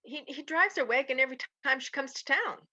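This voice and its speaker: A woman's voice: high-pitched, fast talker, pushed and bright. A woman playing a knowing, gossipy western saloon woman in a radio drama, casual and pointed. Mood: knowing, teasing. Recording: slightly dull recording, guitar music behind.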